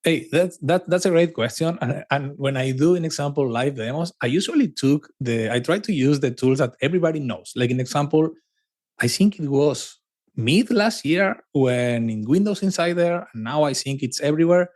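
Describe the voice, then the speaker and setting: A man with an Argentine Spanish accent talks as a podcast guest. His voice sits a little high and swings widely in pitch. He restarts phrases and repeats words for emphasis. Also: moderate pace. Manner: animated, with frequent restarts and emphatic repetition. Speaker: podcast guest, cloud advocate. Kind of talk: casual conversational interview.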